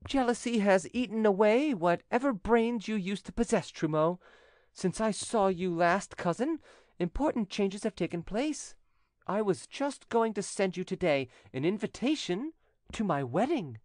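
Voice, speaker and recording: A man's voice: high-pitched, animated, measured pace, darkish tone, no echo, studio quality, pitched above the performer's own voice. A man voicing a proud, self-satisfied, scolding widow in a storybook reading, with haughty, emphatic delivery.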